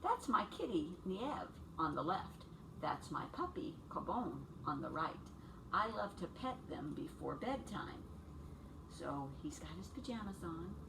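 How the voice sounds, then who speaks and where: A woman's voice: lowish voice, big pitch swings, a little slow. Woman teacher at story time, distant noisy recording. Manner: simple, encouraging phrasing with questions addressed to children. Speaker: young children's teacher reading a picture book aloud. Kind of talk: read-aloud story time and instructions to small children. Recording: distant mic with clear background noise.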